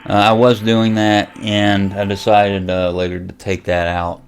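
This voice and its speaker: An American man giving a casual, unscripted software walkthrough as a hobby vlogger, halting as he thinks aloud. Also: on the low side, little pitch movement, slight background noise. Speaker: amateur radio vlogger demonstrating software. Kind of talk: casual unscripted screen tutorial.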